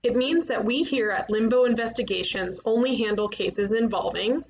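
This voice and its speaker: A brash young woman detective from an animated comedy, read by an actress. She is emphatic and cheeky in a fairly high voice. The microphone is a bit muffled, with faint noise behind her. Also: moderate pace, natural voice. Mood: eager pitching. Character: brash, eager young female ghost detective.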